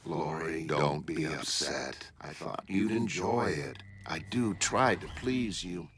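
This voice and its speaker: A man voicing a calm, emotionally distant superhuman man in a motion comic, speaking in a soft, measured, detached manner. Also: very deep voice, slight background noise, heavily acted. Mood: detached, placating. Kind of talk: motion comic dramatic reading.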